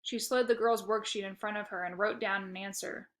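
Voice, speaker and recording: A woman reading a children's chapter book aloud in a lively storytelling manner. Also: mid-range voice, fairly quick. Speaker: reader.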